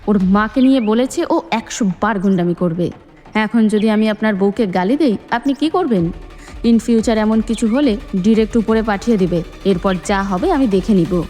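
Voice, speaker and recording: A woman's voice: medium pitch, moderate pace, mellow, lightly acted. Lady reading as angry protective dad in an audiobook, bossy and emphatic, echoey w music. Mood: angry, defiant. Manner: commanding, emphatic. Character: fiercely protective, hot-tempered middle-aged father. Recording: long reverb, music underneath.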